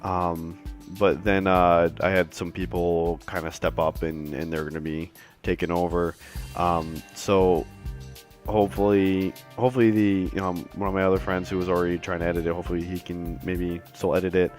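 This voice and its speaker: A man recording a casual update video, a little raspy from a cold, rambling with many fillers and restarts, apologetic and appreciative. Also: deep voice, little pitch movement, normal speed, soft background music. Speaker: self-published author giving updates.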